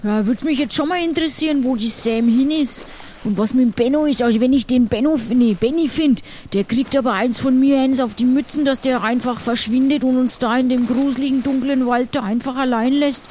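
Chatty, plucky ship's crew member played by a woman, higher register, loose colloquial delivery. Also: fairly quick, darkish tone, a little hiss or hum, close to an everyday voice. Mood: plucky, indignant. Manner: colloquial, rambling. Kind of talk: improvised tabletop roleplay.

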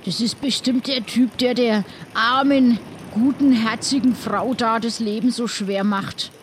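Woman playing an earnest, higher-voiced pirate adventurer. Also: steady tone, slight background noise, lightly acted. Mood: earnest, smitten. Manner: chatty, halting, earnest. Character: earnest, chivalrous young-at-heart pirate adventurer Kalle. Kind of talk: improvised adventure audio play.

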